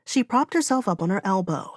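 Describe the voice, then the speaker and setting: A woman's voice: medium pitch, lively intonation, brisk, no echo, clean studio sound. A woman reading a fantasy audiobook as narrator, in dramatic, solemn storytelling.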